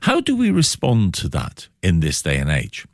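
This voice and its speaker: A man hosting a thoughtful radio interview, setting out questions in measured, reflective phrases. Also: sits low, wildly swooping pitch, dry recording, clean studio sound.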